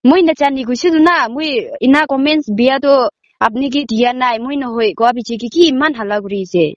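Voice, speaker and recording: High, quick woman, defensive. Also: no echo, highs cut off. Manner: protesting, defensive, exasperated. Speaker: prank call recipient. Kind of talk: casual, argumentative phone conversation.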